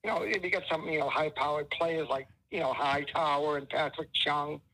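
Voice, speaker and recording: A man phones in to sports radio with a scratchy, high-pitched voice. He rambles and gushes and leans on plenty of fillers. The tone is bright and a little thin, like it's coming down a phone line. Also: steady tone, normal speed, gravelly. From Methuen, Massachusetts. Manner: effusive, rambling, many fillers. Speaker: caller.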